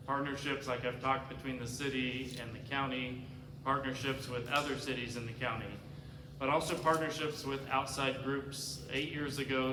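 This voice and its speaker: A man speaking as a candidate at a community forum, earnest in tone, with fillers and self-corrections. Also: mid-range voice. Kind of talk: prepared forum remarks. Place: large community cultural center hall. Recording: roomy hall reverb with background noise.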